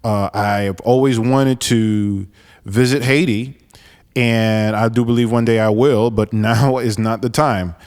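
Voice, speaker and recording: Forties male podcast host, earnest and halting, smooth resonant tone, reverberant room. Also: fairly low pitch, fairly even intonation, darkish tone. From New York, raised in Florida. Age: 40s. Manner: earnest, halting with many restarts and fillers. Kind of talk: solo podcast monologue.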